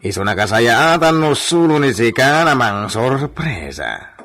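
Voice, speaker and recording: A man playing a hearty old-fashioned gentleman with a raspy voice in a radio drama. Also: mid-range voice, very animated, measured pace. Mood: jovial, teasing.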